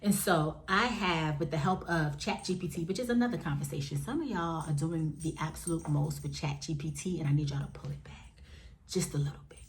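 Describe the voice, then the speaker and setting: A woman in her forties chatting frankly to camera at home, addressing viewers as y'all and jumping up in pitch now and then. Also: brisk, slight background noise. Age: 40s. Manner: lively, with sudden jumps up in pitch. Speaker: vlogger. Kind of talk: candid casual chat to camera.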